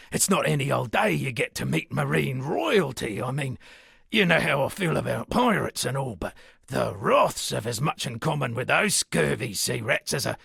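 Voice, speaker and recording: Gravelly, eccentric sea captain. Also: male voice, medium pitch, bright, forceful tone, dry recording, studio quality, exaggerated voice. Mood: excited, incredulous. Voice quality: gravelly, husky. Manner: theatrical, rambling, florid old seafaring turns of phrase. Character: eccentric washed-up sea captain and would-be poet. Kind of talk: video game character dialogue.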